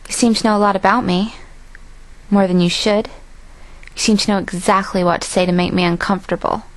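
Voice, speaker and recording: A woman's voice: medium pitch, fairly even intonation, a little fast, no echo, lightly acted, rough recording. A young woman voicing a guarded, sceptical teenage heroine in an amateur audition reading. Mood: wary, uneasy.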